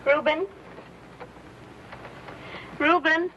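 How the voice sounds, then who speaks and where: An actress playing a brave, earnest pioneer wife, gentle and halting, in a western radio drama. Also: female voice, sits high, fairly even intonation. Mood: anxious, tender. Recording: muffled lo-fi radio recording, noisy background.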